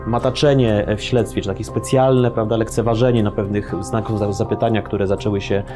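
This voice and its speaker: A man's voice: fairly low pitch, level tone, brisk. A middle-aged man recounting events in an interview, talking discursively with many fillers and asides, seated in an office. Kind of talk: interview explanation. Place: office with bookshelves. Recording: music bed underneath.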